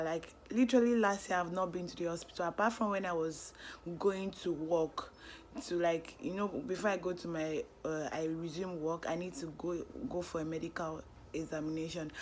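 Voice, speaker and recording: A woman's voice: fairly low pitch, slight background noise. A young woman with a Nigerian accent chatting casually to her vlog viewers, upbeat and talkative. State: hoarse, lost voice, tired. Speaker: vlogger. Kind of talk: casual vlog chat to camera. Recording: music playing under the voice.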